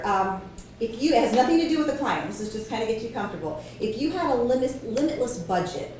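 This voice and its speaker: A woman's voice: medium pitch, a little mellow, a little hiss or hum. A woman moderating a focus group, explaining the ground rules in a chatty way with many fillers and restarts. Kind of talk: casual group briefing. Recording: echoey hollow room, mic at a distance.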